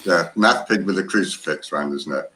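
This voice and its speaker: A man's voice: sits low, brisk. British man telling a story on a call. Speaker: guest. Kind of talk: casual livestream chat. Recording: faint background noise.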